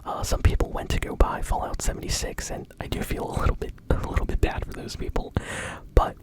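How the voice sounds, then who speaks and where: An ASMR host shares gaming news entirely in a soft whisper, with no voiced tone. The phrasing is informal and a little hesitant, yet keen and enthusiastic. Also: fairly quick. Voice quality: soft unvoiced whisper throughout.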